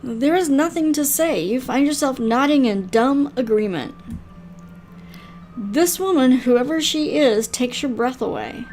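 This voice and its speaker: An older woman with a high voice reads visual novel narration aloud at a slow pace. She hosts casually, in an unhurried storybook manner. Faint music sits behind her. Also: animated, darkish tone. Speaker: gaming video host and reader.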